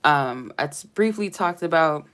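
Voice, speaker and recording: A woman's voice: low-pitched, expressive, measured pace, fairly bright tone, clean tone. A woman doing a casual, meandering tarot reading, chatty and lightly joking, sounding stuffy from a cold. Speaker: tarot reader. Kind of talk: casual spiritual reading to camera.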